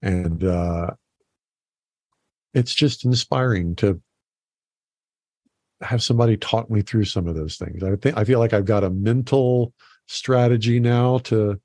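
A man hosting a podcast, talking in a relaxed, folksy way. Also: on the low side, takes it slowly, pauses often, no room sound. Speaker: podcast host. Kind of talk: casual interview chat.

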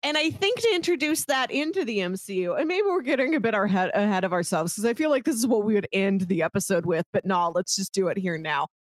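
A woman co-hosting a podcast in a casual film discussion, chatty and opinionated in an animated way. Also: medium pitch, fast talker, few pauses, no room sound. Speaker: podcast co-host.

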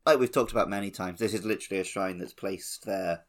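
A man's voice: low-pitched, fast talker. A man co-hosting a podcast, casually discussing a video game. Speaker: podcast co-host.

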